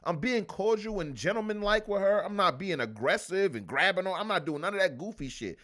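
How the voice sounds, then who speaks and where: A male podcast host in loose, profane chatter, his voice sitting slightly high. He tells stories with big, animated swings in pitch and plenty of energy. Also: fairly quick. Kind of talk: casual banter.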